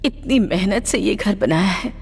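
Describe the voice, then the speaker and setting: A woman's voice: medium pitch, normal speed, creaky, slightly rough. A woman dubbing a worried middle-aged mother who pleads with her sons not to lose the family home. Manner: pleading and anxious. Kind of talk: Hindi film dubbing. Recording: film soundtrack with music. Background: film score.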